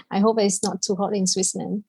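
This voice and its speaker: A woman's voice: medium pitch, moderate pace, a little mellow, husky, no echo. A woman from Vietnam, a native Vietnamese speaker with a Vietnamese accent, telling her personal story as a podcast guest.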